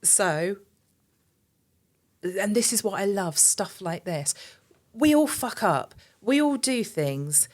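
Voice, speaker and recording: A woman's voice: medium pitch, expressive, slow. A woman guest on a casual podcast conversation, talking earnestly and emphatically, often repeating words as she starts a thought. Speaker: podcast guest, marketing agency director.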